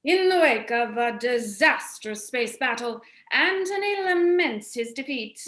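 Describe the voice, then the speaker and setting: A woman narrates a radio play from a script. The voice is high and bright, with the echo of a roomy space around it. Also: lively intonation, measured pace.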